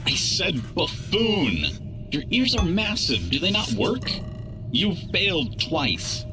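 A man's voice: high voice, huge pitch swings, slow pace, over music, full character voice. A man playing a pompous, exasperated robot boss in a video game, theatrical and scornful with underlings. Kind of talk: video game cutscene acting.

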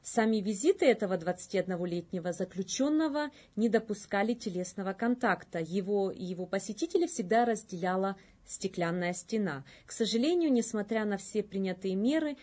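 A woman in her thirties presents a news bulletin, reading from a script. Her voice sits at a medium pitch for a woman. The recording is made indoors in a studio. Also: animated, brisk, few pauses, a little mellow. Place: indoor studio, plain backdrop.

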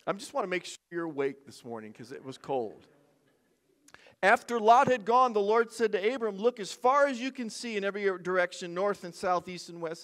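An older man preaching a sermon from a church stage lectern, conversational, with joking asides. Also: high-pitched. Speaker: pastor.

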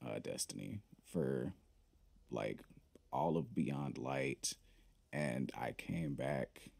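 A man's voice: a bass voice, gravelly, a little mellow, husky, dry recording. A man hosting a gaming video, chatting casually and rambling with lots of fillers as he plays. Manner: laid-back, rambling, many fillers. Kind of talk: casual gameplay commentary.

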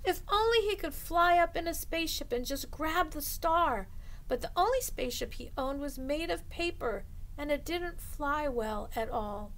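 A middle-aged woman reading a picture book aloud to children as a storytime host, expressively and deliberately, drawing out the ends of phrases. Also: high voice, lively intonation, fairly bright tone, rough recording. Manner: deliberate, drawing out phrase endings for young listeners. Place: small indoor room lined with bookshelves.

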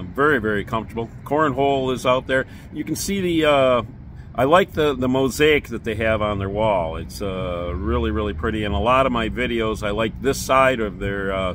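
An older man in his sixties giving a relaxed, rambling beer-garden tour, filmed on a handheld phone outdoors. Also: on the low side, a little hiss or hum. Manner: relaxed, rambling, many fillers. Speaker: beer review vlogger. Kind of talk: casual vlog walk-through. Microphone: phone camera at arm's length.

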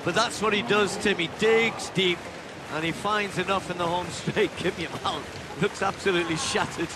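A man giving live play-by-play athletics commentary, building to shouts as the race unfolds. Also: high-pitched, steady tone, moderate pace. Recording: broadcast commentary over loud stadium noise.

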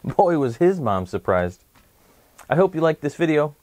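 A man in his thirties hosts a storytime for children. His voice sits fairly high and moves around in a very lively way as he tells the story expressively. The sound is dry and close. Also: normal speed, no room sound. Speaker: host of a children's read-aloud show. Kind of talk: presenting and reading a picture book to children. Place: small indoor room set, seated.

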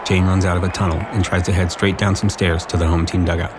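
A man's voice: low-pitched, level tone, fast talker, lightly acted. A man narrating the action of a romantic comedy audio drama from a script. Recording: music bed behind the voice.

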